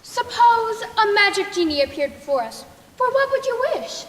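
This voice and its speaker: A woman's voice: very high voice, very animated, moderate pace. A young teen girl on a school stage playing a scheming, envious eldest sister in a folk tale. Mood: bright, curious. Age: young teen middle schooler. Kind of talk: school stage play acting, projected lines. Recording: noisy echoey hall stage recording.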